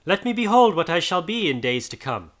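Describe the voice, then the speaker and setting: A trembling old miser pleads in a strained, quavering voice that climbs high. A man acts him out in an audiobook. His speech swings widely in pitch, with a sharp, bright edge. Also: sing-song, fairly quick. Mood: uneasy. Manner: emotional, theatrical delivery. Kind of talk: audiobook character voice.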